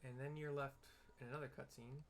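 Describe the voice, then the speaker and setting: A man's voice: mid-range voice, level tone, brisk, a little hiss or hum. A man narrating a game playthrough, reading story dialogue aloud and describing the scenes. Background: game music.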